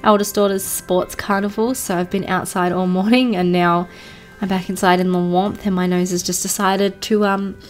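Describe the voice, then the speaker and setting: A woman's voice: mid-range voice, flat delivery, normal speed. A woman, a blocked nasally voice, giving a rambling casual voice-over with lots of ums and likes. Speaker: game build creator. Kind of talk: casual voice-over commentary on a game build. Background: soft background music.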